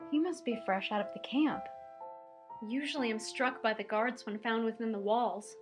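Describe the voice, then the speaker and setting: A woman voicing a timid, eager seventeen-year-old outcast girl from a canine folk, hesitant and excitable in an audio story. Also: a little high, moderate pace, music in the background, pitched above the performer's own voice, lightly acted. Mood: wary. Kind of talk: audio story character dialogue.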